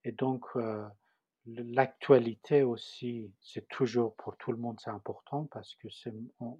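A man with a Persian accent speaks slowly and haltingly, stopping to hunt for the right French words. His voice is husky and mellow. The recording sounds slightly dull and dry. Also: medium pitch, dry recording. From Iran. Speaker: interviewee. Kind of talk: personal testimony.

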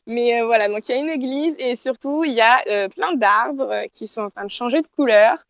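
A woman's voice: fairly high pitch, fairly quick, vocal fry, dull audio. A young woman from France, chatting casually as an interview guest from her apartment, with many fillers and restarts.

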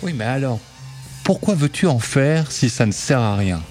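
A man's voice: medium pitch, animated, normal speed, a little mellow, faint music behind, lightly acted. Man playing a sententious, placid contrarian. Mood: placidly contrary. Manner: questioning, sententious. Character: placid, pseudo-wise man, needling a woman activist. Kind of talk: French audio fiction acting.

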